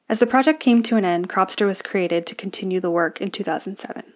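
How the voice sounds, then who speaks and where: A woman hosting a podcast, reading a scripted introduction in a smooth, even manner. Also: mid-range voice. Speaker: podcast host.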